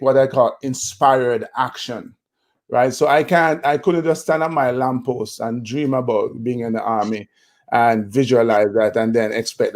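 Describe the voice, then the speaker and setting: A Jamaican man in his fifties, a motivational speaker, telling his life story in a relaxed interview with many fillers and restarts. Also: medium pitch. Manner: reflective, with frequent fillers and restarts.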